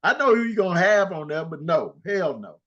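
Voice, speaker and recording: Animated older man trash-talking sports. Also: high voice, fairly quick, lo-fi sound. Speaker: co-host. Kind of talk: casual sports talk.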